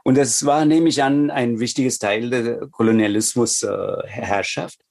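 A man's voice: mid-range voice, fairly even intonation, measured pace, gravelly, a little mellow. Middle-aged man with an Indian accent and Tamil as his first language, a yoga teacher who answers thoughtfully and hesitantly. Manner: thoughtful, hesitant with many fillers. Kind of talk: interview answers.

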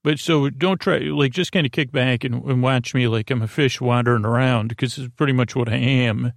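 A male podcast host with a gravelly, fry-heavy voice at a middling pitch. He talks in a meandering, halting bedtime monologue, circling back and repeating himself as he wanders off topic. The sound is very dry, with no room around it. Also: steady tone, dry recording. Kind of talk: rambling bedtime podcast intro, casual monologue.

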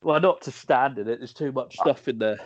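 A man's voice: medium pitch, fairly quick, no echo, muffled recording. A man co-hosting a podcast, trading jokes in casual banter and laughing often. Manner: jokey, animated, laughs often. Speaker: podcast co-host.

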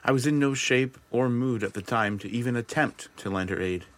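A man playing a wary, detached survivor of an apocalypse, narrating a journal in a deliberate, reflective audio drama style. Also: medium pitch, natural voice. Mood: detached, observant. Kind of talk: scripted first-person dramatic narration.

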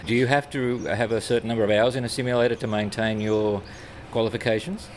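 A woman, interviewing at a regional airport, who asks unhurried questions and gives brief prompts. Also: extremely low pitch, fairly even intonation, a little mellow, slight background noise.

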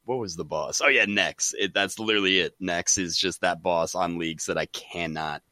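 A man chatting casually about games as a podcast guest, excitable and saying "dude" often. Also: low-pitched, moderate pace, dry recording. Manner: excitable, says "dude" a lot. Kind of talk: casual gaming chat.

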